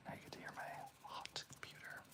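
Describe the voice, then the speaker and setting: A young man hosting a livestream who whispers throughout, chatting softly and reassuringly with his viewers. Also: fast. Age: young adult. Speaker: ASMR livestream host. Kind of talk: whispered ASMR livestream chat.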